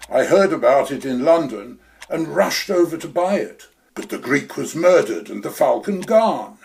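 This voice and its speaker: A pompous, silky schemer with a slightly high voice that rises and falls a great deal, as a man acts him out. He lectures at length in a florid, long-winded way in this radio play. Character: suave scheming old male treasure hunter. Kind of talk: community radio play acting.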